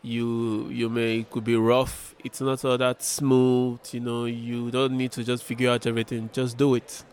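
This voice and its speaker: A young man from Nigeria, a tech support engineer answering interview questions with many pauses and restarts. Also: medium pitch, monotone, darkish tone, slight background noise. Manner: hesitant, many fillers and restarts. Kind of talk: event interview.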